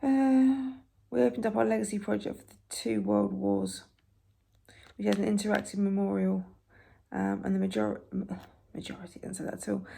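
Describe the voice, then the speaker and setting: Soft-spoken raspy British woman. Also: medium pitch. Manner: soft-spoken, halting, wry asides. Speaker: ASMR creator. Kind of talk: soft-spoken ASMR newspaper reading.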